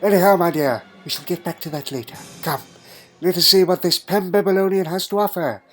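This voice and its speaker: A man's voice: high voice, a little fast. Elderly time traveller, played by a man: doddering, fussy, imperious but fond, hums questioningly; audio drama over music. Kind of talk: fan audio drama acting. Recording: music underneath.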